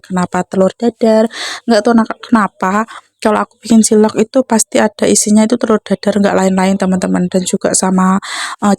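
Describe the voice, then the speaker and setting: Chatty young woman, even dry delivery. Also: mid-range voice, dry recording. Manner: chatty, repeatedly addressing viewers as friends. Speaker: home cooking vlogger. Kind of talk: casual cooking vlog narration.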